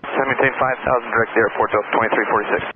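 A man's voice: mid-range voice, steady tone, rapid-fire, slightly rough. A male airline pilot making terse calls and readbacks over cockpit radio. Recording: tinny, very noisy cockpit radio.

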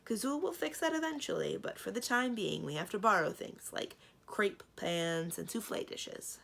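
A strong-willed young princess from a storybook, played by a woman reader. She is brisk and matter-of-fact, lecturing with emphatic swoops of pitch. The voice has a little gravel in it. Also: expressive, a bit bright. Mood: brisk, exasperated. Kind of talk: storybook character dialogue.

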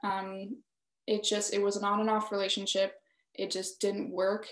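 A woman's voice: mid-range voice, monotone, normal speed, reverberant. A young woman artist on a video call, talking hesitantly with many fillers. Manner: hesitant, many ums and "essentially". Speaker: exhibiting artist. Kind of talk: panel discussion. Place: home room, indoors. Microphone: video call laptop mic.

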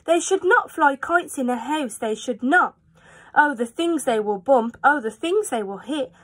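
A woman's voice: high-pitched, expressive, a little slow, fairly bright tone. A woman voicing an anxious, scolding pet fish in a storybook, with urgent, repetitive exclamations. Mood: scolding, alarmed.

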